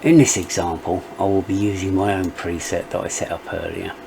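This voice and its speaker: Low-pitched man presenting a tutorial. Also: level tone, a little fast, gravelly, noisy background. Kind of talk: step-by-step software tutorial narration.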